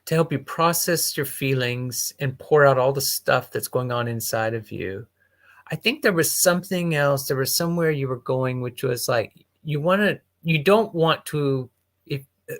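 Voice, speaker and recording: A man's voice: medium pitch, normal speed. A man hosting a casual conversation, thinking aloud in a reflective way as he puts his thoughts together. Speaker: host.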